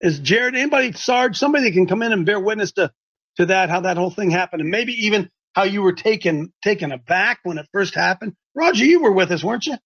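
A fervent man with a high voice presses his points hard, repeating himself like a street preacher. The audio is muffled and lo-fi, and he leads the talk as host. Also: lively intonation, fast talker, fairly bright tone.

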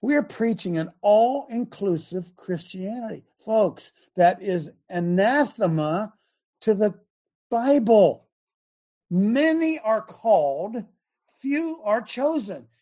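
Raspy, husky-voiced male talk radio host. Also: very high-pitched, darkish tone. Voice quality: raspy, scratchy voice. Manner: rambling, fervent, emphatic. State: lingering cough, chronic laryngitis. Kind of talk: fiery preaching talk radio.

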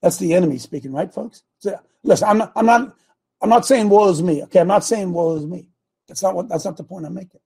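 Fervent gravelly older man preaching. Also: high-pitched, fast. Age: 60s. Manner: fervent, repetitive, rises to shouting. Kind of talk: sermon.

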